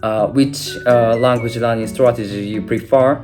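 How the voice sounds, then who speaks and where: A man's voice: mid-range voice, fairly even intonation, moderate pace. A young man whose first language is Japanese, giving an unscripted video lesson with many fillers and restarts. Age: young adult. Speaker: language teacher. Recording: guitar music playing behind.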